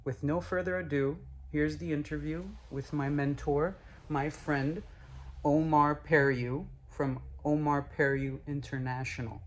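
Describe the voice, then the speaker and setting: Higher-pitched male interview host. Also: level tone, unhurried. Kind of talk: podcast introduction and interviewing.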